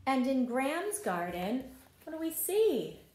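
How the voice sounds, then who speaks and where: Female storytime reader for preschoolers, slow and very lively in intonation, encouraging, recorded in an echoey room. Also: sits high. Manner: animated, encouraging, addresses listeners with questions. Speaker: pre-kindergarten teacher reading a picture book to children. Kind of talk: expressive storybook read-aloud with questions to young children. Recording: roomy, echoing room sound.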